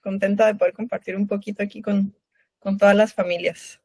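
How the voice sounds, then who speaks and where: A woman's voice: mid-range voice, level tone, a little fast, darkish tone, husky, dry recording. A woman in her thirties from Guatemala with a Guatemalan Spanish accent, a lactation consultant chatting as an interview guest, often adding little tag questions. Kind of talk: conversational interview.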